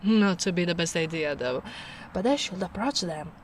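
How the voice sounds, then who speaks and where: A woman playing a gentle, caring big ogre in a soft-spoken ASMR roleplay, musing in an unhurried way. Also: lowish voice, expressive, a little hiss or hum, close to an everyday voice. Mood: curious, wary.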